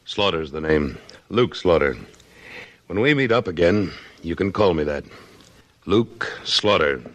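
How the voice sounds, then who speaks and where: Rugged frontier hero, very low American voice, plain-spoken, old radio western. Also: male voice, expressive, measured pace, fairly bright tone. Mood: firm. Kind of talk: 1950s radio western acting. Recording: old 1950s radio broadcast recording.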